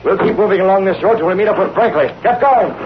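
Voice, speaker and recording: A man's voice: high voice, fast, close to an everyday voice. An actor playing a hard, commanding man in an old-time radio crime drama. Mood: brisk, commanding. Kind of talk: 1930s-40s radio crime drama acting. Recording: muffled, noisy old radio broadcast.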